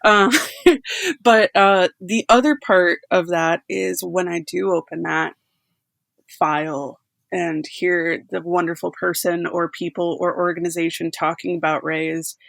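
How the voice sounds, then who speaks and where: A woman's voice: fairly low pitch, steady tone, unhurried, creaky, fairly bright tone, dry recording. A woman in casual conversation, talking animatedly and breaking into laughter often. She works as a sound effects editor. The recording is close and dry, with no room sound. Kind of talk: casual chat.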